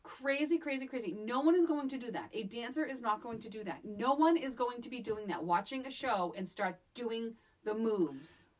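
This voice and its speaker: A woman's voice: fairly high pitch, animated, normal speed, hardly any pauses. A middle-aged woman co-hosting a casual, comedic film recap, emphatic and incredulous, often repeating phrases for effect. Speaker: podcast co-host.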